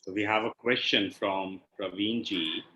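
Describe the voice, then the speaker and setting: A man's voice: fairly low pitch, fairly even intonation, a little slow, pushed and bright, dull audio. Echoey Indian man teaching on a call. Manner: didactic, ends points with a questioning "right?". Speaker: healing instructor and session host. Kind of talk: explanatory online talk with questions and answers. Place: online video call. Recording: echoey room over video call.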